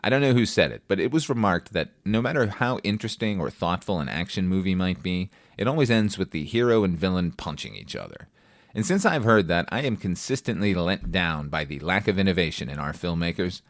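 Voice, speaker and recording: A man with a very low-pitched voice presents a film review in a conversational but prepared style. His delivery is wry and opinionated, with emphatic little asides. The sound is dry and close, free of room reflections. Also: big pitch swings, a little fast, no echo. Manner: casual swearing. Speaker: film review podcast host.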